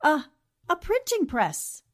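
A woman's voice: high-pitched, huge pitch swings, slow, exaggerated voice. An actress playing a brave, serious American newspaperwoman in a 1940s radio drama, earnest and resolute in manner. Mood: sharp deduction.

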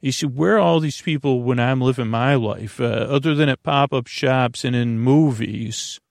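A man with a creaky, gravelly voice, recorded close and dry. He hosts a bedtime show in a meandering monologue, often repeating himself and leaving phrases unfinished. His manner is self-deprecating. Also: mid-range voice, no echo. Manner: halting, repeats and trails off mid-phrase. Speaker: host of a bedtime podcast.

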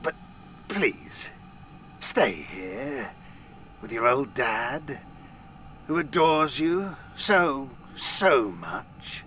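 A man's voice: mid-range voice, sing-song, very slow, pauses often, pushed and bright, a little hiss or hum, full character voice. An actor playing a vain, manipulative fairy king and father who wheedles, pleads and threatens theatrically. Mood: pleading.

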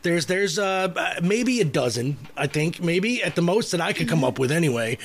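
Higher-voiced man hosting a chatty podcast. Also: lively intonation. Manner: halting, repetitive, chatty. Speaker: podcast host. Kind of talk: casual, rambling co-hosted podcast chat.

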